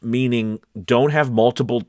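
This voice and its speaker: A man's voice: mid-range voice, steady tone, a little slow, a little mellow, dry recording, clean studio sound. A man talking casually and directly to viewers as a voice-over coach, stressing key words and often checking that they follow.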